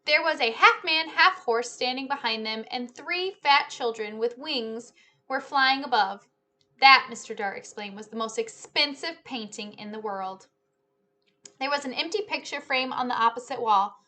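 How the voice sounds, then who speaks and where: Bright, higher-pitched woman reading to children. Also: moderate pace. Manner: addresses listeners as friends, explanatory. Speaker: teacher-style read-aloud host. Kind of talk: children's book read-aloud. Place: small living room or home office, indoors.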